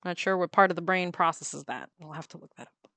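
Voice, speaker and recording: A woman with a slightly low voice chats away while she draws on a livestream. She laughs easily and keeps pausing on ums and half-finished words. Her voice is close to the mic and dry, with no room sound. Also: fairly even intonation, bright, forceful tone, no room sound. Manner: chatty, laughs often, many ums and restarts. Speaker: livestreamer drawing on a tablet. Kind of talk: casual chat while drawing.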